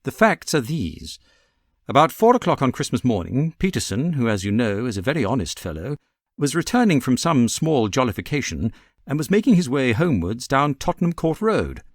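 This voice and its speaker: A British man voicing a brilliant, self-assured gentleman detective in an audiobook, precise and theatrical as he sets things out. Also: medium pitch, very animated, normal speed, dry recording, clean studio sound. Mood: brisk, expository. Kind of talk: audiobook character reading.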